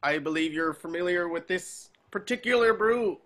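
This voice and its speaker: A man playing a gruff, impatient old wizard war veteran who barks curt orders in a table read over a video call. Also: high voice, moderate pace, a bit bright. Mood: brusque, commanding. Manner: barking, commanding, curt.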